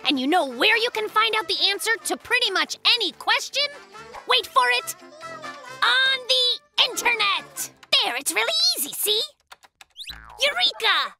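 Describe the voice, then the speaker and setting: A performer voicing an excitable, boastful young polar bear in a children's cartoon, exclamatory and full of slang. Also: extremely high pitch, normal speed, creaky, over music, full character voice. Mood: excited, smug. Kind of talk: children's cartoon dub acting.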